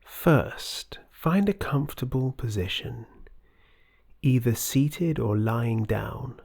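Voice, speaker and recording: This is a meditation guide with a mellow, dark, breathy voice. The delivery is slow, gentle and scripted, often sinking toward a whisper. It stays soothing and measured throughout. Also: mid-range voice, expressive.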